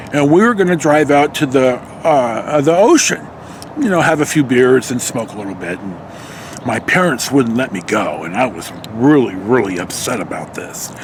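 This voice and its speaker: A man's voice: mid-range voice, a little hiss or hum. An American man in his early sixties tells a personal story casually, in a rambling, salty-tongued way. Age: early 60s. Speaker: vlogger telling personal stories. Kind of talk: casual anecdotal storytelling to camera.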